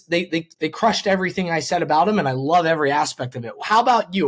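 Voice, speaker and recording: An interviewer hosting a casual sports conversation in a rambling, conversational and enthusiastic way. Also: medium pitch. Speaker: podcast host and interviewer.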